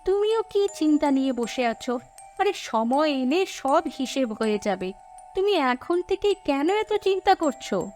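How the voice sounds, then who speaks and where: A clever, fretful village wife from a cartoon, played by a woman, pleads her case emphatically. Her voice is high and swings widely in pitch, with music underneath. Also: very animated, a little slow, a little mellow. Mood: reassuring. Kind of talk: cartoon story dialogue.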